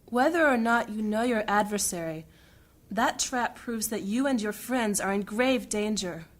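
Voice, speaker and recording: A woman's voice: mid-range voice, expressive, a little slow, close to an everyday voice. A woman playing a poised, strong-willed guild noblewoman in a fantasy audio drama, formal and articulate in her insistence. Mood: insistent, determined.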